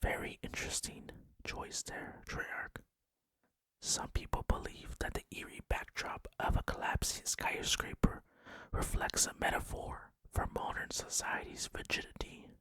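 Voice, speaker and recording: A man whispering breathily and deliberately into a close microphone as he hosts an ASMR gaming commentary. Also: pushed and bright, dry recording. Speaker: gaming video host.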